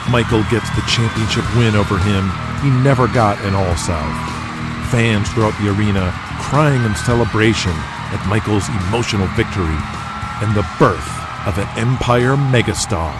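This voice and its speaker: A man delivers dramatic scripted narration for an audio drama. His voice is on the low side, intense and emphatic, riding over a loud musical score. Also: normal speed. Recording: loud music bed under voice.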